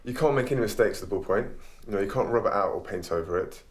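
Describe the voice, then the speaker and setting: A man with a fairly low voice talks casually in an interview, as an artist. The room around him is clearly echoey. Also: fast talker, a little hiss or hum.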